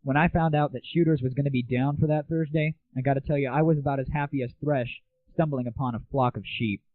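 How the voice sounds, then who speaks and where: A man's voice: fairly even intonation, fast. A young American man hosting an audio show, chatting sarcastically and irreverently in a casual comic rant and review style. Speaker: host of an online gaming audio show. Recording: tinny, phone-like low-bandwidth audio, very dry.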